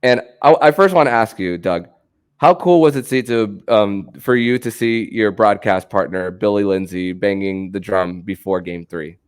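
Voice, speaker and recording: A man with a low, smooth voice hosts a sports podcast. His delivery is halting, as he stumbles into sentences and doubles up on words. The tone stays clean and resonant. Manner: halting, stammers and repeats words. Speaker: podcast host.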